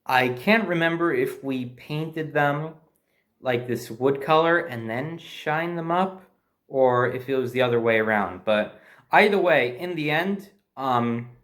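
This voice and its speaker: A man's voice: medium pitch, unhurried, a bit bright. A man telling a personal story in a casual, unscripted way, hesitating and correcting himself as he recalls details.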